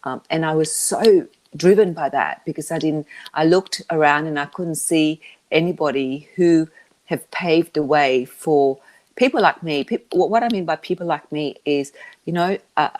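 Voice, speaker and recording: A woman who learned English as a second language, answering interview questions about her business in a halting way, with many restarts. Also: low voice, a little mellow. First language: not English. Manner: halting, with frequent restarts and fillers. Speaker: interview guest, media business founder.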